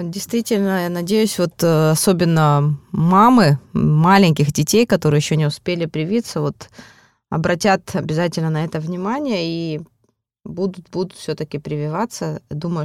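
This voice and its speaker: A woman's voice: low voice, moderate pace, darkish tone, no room sound, clean studio sound. A woman hosting a radio interview, asking questions with frequent hesitations and fillers. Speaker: radio host. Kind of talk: interviewing a guest.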